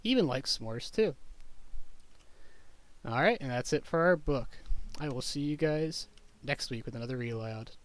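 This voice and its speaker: A man reading a children's picture book aloud as a teacher would, in simple, clearly phrased storytelling for young children. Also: mid-range voice, animated, moderate pace, long pauses.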